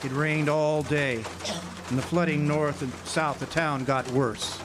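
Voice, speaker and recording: A man's voice: fairly high pitch, steady tone, a little slow, lightly acted. A man playing a wry noir drifter, noisy recording. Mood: wry, reflective. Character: world-weary drifter narrating his hardboiled small-town romance. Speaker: actor in a radio drama. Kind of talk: noir-style radio drama narration and dialogue. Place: outdoor amphitheater stage. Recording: noisy outdoor live recording with birdsong.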